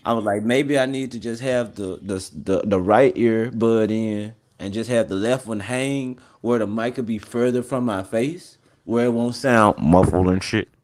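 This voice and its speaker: A man's voice: fairly low pitch, unhurried, few pauses, a little mellow. A man chatting casually with a friend, rambling and slangy, restarting his sentences. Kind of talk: casual chat.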